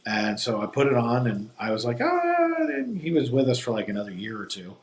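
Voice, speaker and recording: A man from New Jersey hosts a live stream and rambles through opinionated sports talk in a mid-pitched voice. The sound is roomy, with echo hanging around every phrase. He talks loosely and casually, piling one point onto the next. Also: wildly swooping pitch, fairly quick. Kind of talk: casual chat. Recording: echoey room.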